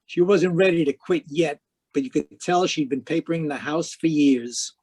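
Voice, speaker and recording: An actor playing a cynical, wry private eye in terse, slangy 1940s radio detective style. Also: male voice, on the high side, normal speed, a little mellow, husky. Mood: wry, world-weary. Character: cynical wisecracking male hardboiled private eye.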